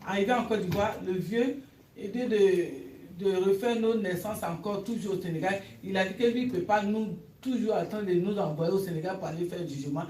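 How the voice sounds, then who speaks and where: A woman from West Africa asking a question in church, talking in a hesitant, halting way with many restarts. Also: medium pitch, warm tone. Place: church hall. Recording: echoey hall from far off, faint hiss.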